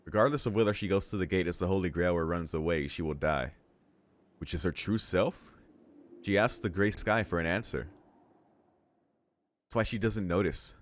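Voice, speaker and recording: A man hosting a visual novel read-along, switching between narrating the text aloud and casual, halting reaction commentary. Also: low voice. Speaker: let's-play host reading a visual novel aloud and reacting.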